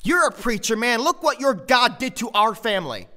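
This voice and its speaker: A man's voice: extremely high pitch, big pitch swings, moderate pace, bright, forceful tone, exaggerated voice. A man with an American accent acting a defiant, sullen runaway teenage boy in an amateur radio drama, snappish and guarded. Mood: bitter.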